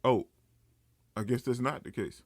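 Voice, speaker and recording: A man giving casual, off-the-cuff reaction commentary, thinking out loud as he goes. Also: mid-range voice, unhurried, no room sound, studio quality. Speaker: anime reaction host.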